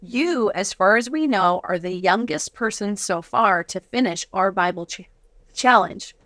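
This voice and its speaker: A woman's voice: medium pitch, lively intonation, a little slow, fairly bright tone, no echo. A woman hosting a casual, chatty interview in an enthusiastic, exclamatory way. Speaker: interviewer and host.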